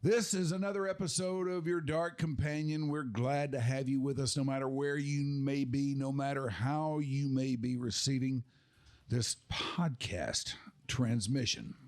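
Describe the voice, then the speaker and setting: An older man hosting a podcast in a casual, deliberate way, repeating phrases for emphasis. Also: medium pitch, fairly even intonation. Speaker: podcast host.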